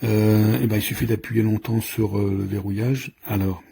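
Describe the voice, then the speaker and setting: Male product reviewer, lowish and soft-edged voice, hesitant unscripted explainer, slight background noise. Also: flat delivery, moderate pace, vocal fry. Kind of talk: informal hands-on product demonstration.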